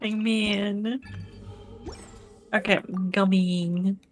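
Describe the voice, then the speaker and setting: A woman's voice: mid-range voice, steady tone, very slow. A woman chatting casually as a co-op gaming partner, helpful and reassuring in manner. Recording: game music behind. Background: video game music.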